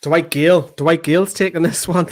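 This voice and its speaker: Shouting, swearing fan commentator. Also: male voice, fairly high pitch, fairly even intonation, moderate pace. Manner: shouts at the screen, swears freely. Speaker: fan channel co-host. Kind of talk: excitable live match commentary.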